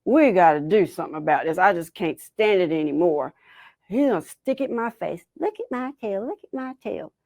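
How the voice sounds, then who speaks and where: A woman's voice: fairly low pitch, lively intonation, a little fast, darkish tone, no echo, studio quality, voice pushed down. Exasperated forest animals from a folktale, voiced by a woman storyteller. They grumble and complain, thoroughly fed up.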